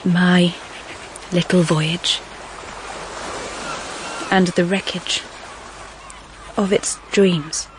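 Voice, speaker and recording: An actress playing an earnest, idealistic young Victorian governess in a radio drama, speaking in a measured, heartfelt, literary way. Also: female voice, lowish voice, fairly even intonation, background noise. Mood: resolute. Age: young adult. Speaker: actress and narrator of a period drama. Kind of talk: period radio drama acting and narration.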